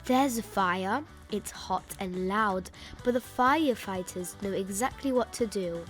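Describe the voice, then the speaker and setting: A woman reading a children's picture book aloud in an expressive, emphatic way, stretching out key words. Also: medium pitch, measured pace. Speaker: children's story reader. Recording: music bed behind the voice.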